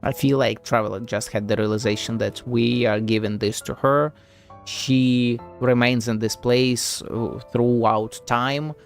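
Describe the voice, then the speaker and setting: A man's voice: medium pitch, fairly even intonation, measured pace, creaky. A man giving casual live gameplay commentary, sceptical and profane as he thinks aloud. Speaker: game streamer. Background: game music.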